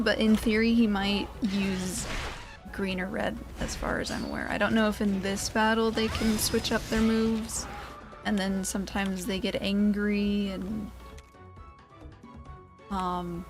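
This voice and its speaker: Woman, mid-pitched, casual let's player with a playful, goofy turn of phrase; game music clearly underneath. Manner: playful, goofy word choices. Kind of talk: casual gameplay commentary.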